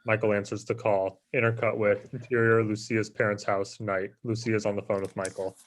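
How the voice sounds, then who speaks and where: A man's voice: lowish voice, flat delivery, moderate pace. A man giving scripted narration, reading out the stage directions of a screenplay.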